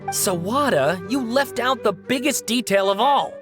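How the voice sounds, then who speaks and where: Stern impatient scholar, actor voicing them, mid-pitched, game music under it. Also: lively intonation, close to an everyday voice. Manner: measured, then curt and impatient. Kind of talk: video game voice acting. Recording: game cutscene with background music.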